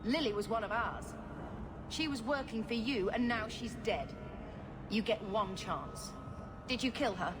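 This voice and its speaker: A woman's voice: fairly high pitch, animated, unhurried. A woman voicing a hostile female street gang enforcer making threats. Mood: menacing. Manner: threatening, shouted demands. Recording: game cutscene with noisy ambience.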